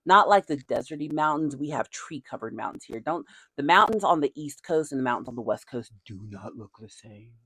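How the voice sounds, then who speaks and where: A chatty speaker from Nashville, Tennessee, whose Southern accent is softened but still comes through. The delivery is animated, with a voice that rises and falls freely and frequent laughter. The sound is dry, with no room echo. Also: mid-range voice, a little fast, breathy edge. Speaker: video creator. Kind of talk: casual chat.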